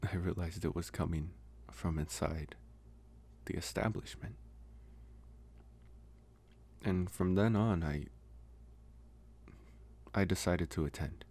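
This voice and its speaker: A man playing a gentle, lonely young college vampire in an intimate ASMR roleplay, speaking softly and haltingly with long pauses. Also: sits low, slightly rough, heavily acted.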